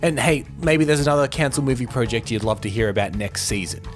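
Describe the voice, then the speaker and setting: Male host, mid-range voice, casual podcast delivery with soft music underneath. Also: medium pitch, animated, fast talker. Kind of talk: casual chat and friendly scripted sign-off.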